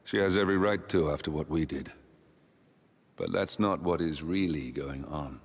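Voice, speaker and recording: Game figure: a low, husky comrade's voice, drenched in long reverb. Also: male voice, moderate pace, heavily acted. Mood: urging. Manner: steady, urging. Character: calm male comrade, a hallucinated voice. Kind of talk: video game cutscene acting.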